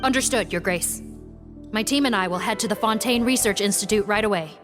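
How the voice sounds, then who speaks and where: A woman voicing a dutiful female law officer leading her team in a video game, speaking briskly and firmly. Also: medium pitch, a bit bright, lightly acted. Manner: brisk and duty-minded. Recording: game audio with clear music behind.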